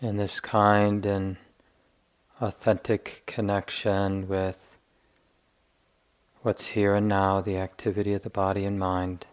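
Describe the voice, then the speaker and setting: A man with a fairly low, very even voice leads a guided meditation. He speaks very slowly and gently, leaving long pauses between short, deliberate phrases. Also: clean tone. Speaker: meditation teacher.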